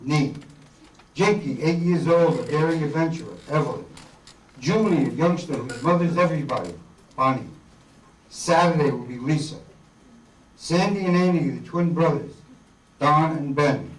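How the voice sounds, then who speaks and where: A man's voice: fairly high pitch, normal speed, long pauses. A man announcing a program and reading out a cast list in a roomy space. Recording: roomy, distant mic, faint noise.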